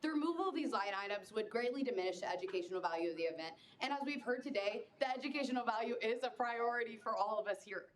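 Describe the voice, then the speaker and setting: A woman's voice: a little high, expressive, fast, hardly any pauses. A young woman delivering a formal, rehearsed persuasive speech in favor of a funding bill. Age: young adult. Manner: formal, rehearsed, polished cadence. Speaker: student speaker for a funding bill. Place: student senate meeting room.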